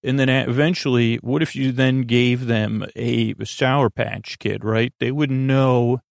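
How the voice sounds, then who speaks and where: A man hosting a bedtime podcast in a rambling monologue, halting and stammering with frequent false starts and self-corrections, in a gravelly voice. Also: medium pitch, fairly even intonation, no room sound. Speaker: podcast host.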